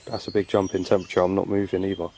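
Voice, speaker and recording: Paranormal show host, male, lowish register, informal field commentary, recorded outside with noticeable ambient noise. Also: little pitch movement, racing, warm tone. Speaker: paranormal investigator hosting an exploration video. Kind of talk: casual on-location ghost-hunt commentary, calling out questions. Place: outdoors in a rural spot. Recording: noisy outdoor location sound. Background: birds and outdoor ambience.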